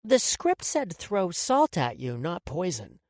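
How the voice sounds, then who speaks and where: Brief: woman playing a cool, menacing tormentor for an audio story, quiet menace, varied pitch. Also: big pitch swings, slow, no echo, studio quality. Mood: mock innocent. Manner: soft, coolly menacing. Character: calm, cruel woman toying with captives.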